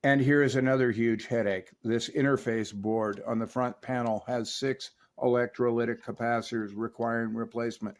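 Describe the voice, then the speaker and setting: A man's voice: mid-range voice, steady tone, normal speed, few pauses. A man narrating a technical review and repair guide in an emphatic, opinionated, folksy way. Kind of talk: explanatory voice-over for a technical review.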